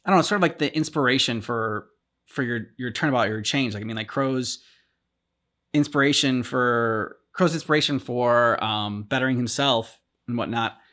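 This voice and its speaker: Man co-host, mid voice, loose halting reaction chat, ends with a viewer plug. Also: medium pitch, pushed and bright. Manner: rambling, halting, full of "like" and "um" restarts. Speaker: co-host of a game reaction channel. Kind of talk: casual discussion reacting to game cutscenes.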